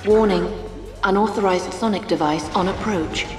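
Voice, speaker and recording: A woman's voice: mid-range voice, moderate pace, music in the background, lightly acted. Woman acting a distant, echoing computer announcer. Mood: urgent warning. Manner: formal, clipped announcement. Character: impersonal female computer alarm announcer.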